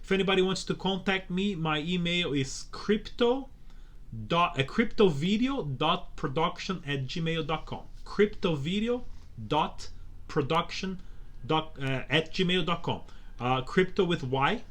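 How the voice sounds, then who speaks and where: A man's voice: a little high, expressive, a little slow, fairly bright tone. A man from Brazil with a Brazilian Portuguese accent, speaking English as a second language as a podcast guest, earnest and repeating words as he searches for them. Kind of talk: casual interview conversation.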